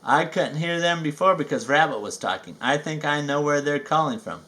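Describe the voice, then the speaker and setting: A man's voice: on the high side, lively intonation, moderate pace, pushed and bright. Guy reading as a mild simple bear in a kids story, earnest and hungry.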